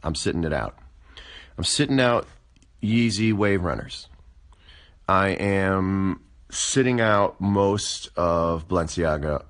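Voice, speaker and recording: Deep-voiced wry man musing on a livestream. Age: 40s. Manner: wry, musing, deadpan asides. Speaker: livestream host. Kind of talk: casual livestream chat. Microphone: phone.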